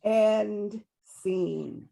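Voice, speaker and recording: A woman's voice: mid-range voice, extremely slow, gravelly, mellow. A woman introducing scenes as announcer at an amateur script reading.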